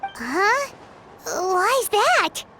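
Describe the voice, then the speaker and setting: Squeaky, very high fairy sidekick. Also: female voice, big pitch swings, extremely slow, pushed and bright, a little hiss or hum, full character voice. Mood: curious, perky. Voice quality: squeaky, childlike. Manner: talks of self in third person, exclaiming. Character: small childlike floating fairy companion, perky and nosy. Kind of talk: anime-style video game dialogue acting.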